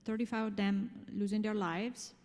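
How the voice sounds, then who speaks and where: A woman with an Italian accent speaks to a conference room in a mellow, mid-pitched voice. She explains her points emphatically and poses questions to her listeners. Her words ring with long hall reverb. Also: steady tone, moderate pace. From Italy. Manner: emphatic, explanatory, with rhetorical questions and check-in tags. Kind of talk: conference presentation to an audience.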